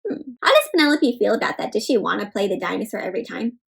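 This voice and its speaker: A woman leading an interactive children's read-aloud as a teacher, asking young listeners questions and pausing for their answers. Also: mid-range voice, big pitch swings, creaky, fairly bright tone, slightly rough. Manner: asks listeners questions, pauses, addresses children as friends.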